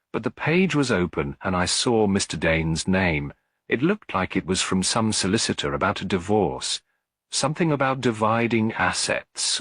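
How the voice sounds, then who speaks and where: A man's voice: deep voice, fairly bright tone, husky, no room sound, clean studio sound, heavily acted. A man voicing a timid, anxious young housemaid in an audiobook mystery, tremulous, hesitant and deferential.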